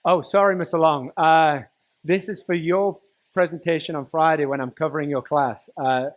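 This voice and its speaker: A middle-aged man from England, giving a personal talk to students in a conversational, halting way with dry humour. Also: a little high, steady tone, no room sound. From Newcastle, northern England. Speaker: teacher and coach giving a speech to students. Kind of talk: personal motivational talk, storytelling.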